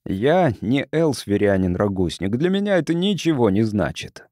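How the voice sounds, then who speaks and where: An earnest male scientist from Earth, played by a man reading an audiobook. He is polite and reasons patiently in a mellow voice. The sound is dry. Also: mid-range voice, very animated, normal speed, no echo, lightly acted. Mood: earnest pleading. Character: earnest, conciliatory male visiting sociologist from Earth.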